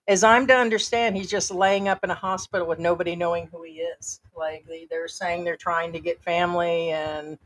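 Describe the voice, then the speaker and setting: A woman's voice: fairly low pitch, steady tone, normal speed, few pauses, rough recording. A woman from the United States co-hosting a casual chat, laughing often and reading a story aloud with comments. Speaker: co-host.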